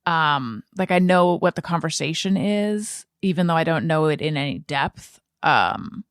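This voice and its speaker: Female podcast host, low pitch, slow reflective delivery, close dry sound, conversational. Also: expressive, no room sound. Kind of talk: casual conversational interview.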